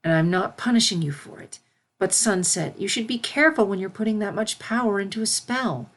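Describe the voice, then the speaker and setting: A woman voicing a patient, gentle royal pony princess who teaches and consoles her pupil in a storybook reading. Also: medium pitch, close to an everyday voice. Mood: consoling. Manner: measured, instructive, consoling.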